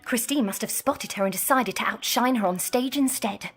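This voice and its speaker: A woman's voice: a little high, moderate pace, close to an everyday voice. An actress playing a chatty, cheeky young woman gossiping at the opera in a radio drama. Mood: gossipy. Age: young adult. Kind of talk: full-cast radio drama acting. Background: music.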